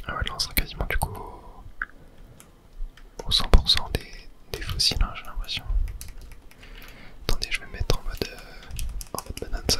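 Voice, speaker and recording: A man whispering breathy, murmured running commentary as he plays a video game for ASMR viewers. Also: poor audio.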